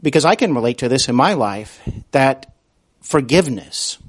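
A man hosting a podcast, speaking in a polite, somewhat halting way. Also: mid-range voice, expressive, no room sound, clean studio sound. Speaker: podcast host. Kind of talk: interview talk and show announcements.

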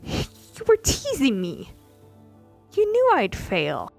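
An indignant young woman in sailor's disguise, shouting and cursing at the man who tricked her, as a woman narrator acts her out. Faint music plays underneath. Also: high-pitched, wildly swooping pitch, slow pace, creaky, pitched above the performer's own voice, exaggerated voice. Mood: furious.

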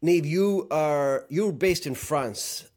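A man's voice: fairly high pitch, takes it slowly, a little mellow. A man with an Irish accent, hosting a podcast and asking his guest friendly, open questions. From Ireland. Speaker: podcast host. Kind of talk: conversational interview.

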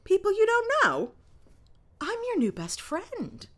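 A woman's voice: very high-pitched, wildly swooping pitch, a little slow, a little hiss or hum, full character voice. A woman playing a brash, jokey, chummy squad boss in a science fiction audio drama. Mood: playful, boisterous. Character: cocky, gregarious female squad boss in space. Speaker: sergeant.

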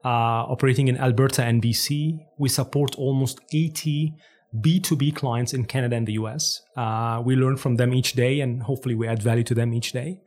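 A man's voice: mid-range voice, steady tone, brisk, a little mellow. A man from Egypt, talking enthusiastically as an interview guest with frequent fillers. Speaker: interview guest, business founder. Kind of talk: conversational interview.

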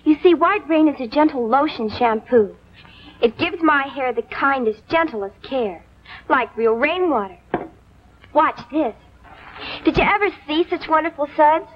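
A woman's voice: high-pitched, expressive, unhurried, slight background noise. A woman acting as a cheerful pretty girl praising shampoo in a vintage commercial. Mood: bright, delighted. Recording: dull vintage broadcast recording.

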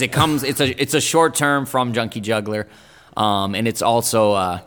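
A rapper whose first languages are German and Italian talks in a low, easygoing voice, full of slang and restarts. His voice carries a long reverb tail. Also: a bit bright. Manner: laid-back slangy talk, many fillers and restarts. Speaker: rapper guest. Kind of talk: casual interview chat.

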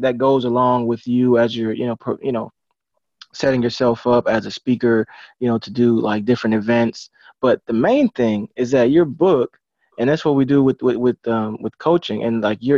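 A man talking casually in an interview as an encouraging coach, halting and repeating words as he goes. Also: mid-range voice, darkish tone, dry recording. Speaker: book publisher and author coach. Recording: dry, dull lo-fi audio.

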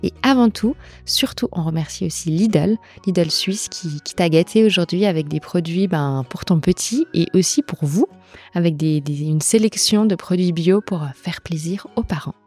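A woman's voice: lowish voice, moderate pace, warm tone, breathy edge, faint music behind. A woman hosting a podcast interview in an informal, chatty conversational style. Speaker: podcast host.

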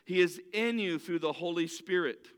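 A man preaches with earnest conviction, often stumbling and restarting his sentences, and English is not his first language. His voice sits high and rings smooth and resonant. A long reverb hangs behind him, as in a church hall. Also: normal speed. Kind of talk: sermon.

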